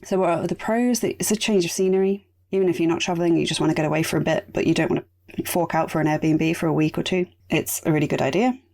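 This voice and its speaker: A woman hosting a casual solo podcast, chatty and rambling, her voice going raspy. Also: lowish voice, steady tone, fast talker, a little mellow. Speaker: podcast host.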